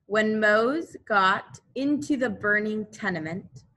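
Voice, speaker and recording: A woman reads a picture book to young children in the manner of a schoolteacher. Her pitch sits in the middle range and her pace is slow. She is expressive and deliberate, stepping out to explain the pictures. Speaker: elementary school teacher. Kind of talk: children's storybook read-aloud with asides.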